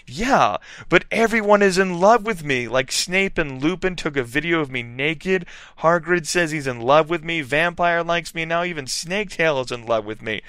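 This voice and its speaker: A man voicing a melodramatic teenage goth girl who whines in a shrill, mock-dramatic way. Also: normal speed, few pauses, sharp tone, smooth, no room sound. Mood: whiny.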